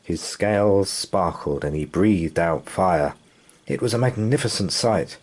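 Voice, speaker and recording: Man, children's storybook narrator, lowish pitch, very lively intonation, unhurried pace. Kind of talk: animated bedtime storybook narration.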